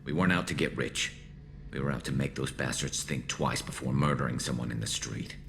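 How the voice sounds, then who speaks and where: A man voicing a battle-scarred alien soldier in a video game, loyal and dryly wry, with a gravelly voice. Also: fairly quick, fairly bright tone, full character voice. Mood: grim, proud. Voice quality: gravelly, very deep. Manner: dry, wry, clipped. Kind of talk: video game dramatic acting.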